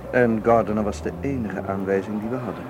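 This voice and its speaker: Man acting a low-voiced, frank investigator sidekick. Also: steady tone, brisk, background noise, close to an everyday voice. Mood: thoughtful. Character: loyal, frank male partner in an investigation. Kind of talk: radio drama acting in Dutch.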